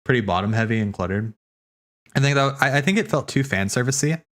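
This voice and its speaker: A man's voice: mid-range voice, animated, quick pace, a bit bright. A man streaming casual, rambling commentary, thinking aloud as he hosts, often repeating his words. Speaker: streamer hosting a watch party.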